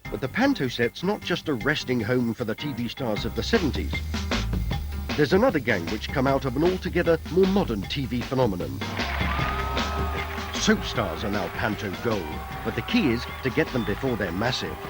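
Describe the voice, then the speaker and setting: A British male documentary narrator with very animated intonation and a playful, cheeky delivery. He reads scripted lines over clear background music on a VHS transfer. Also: medium pitch, normal speed. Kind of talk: light-hearted scripted narration.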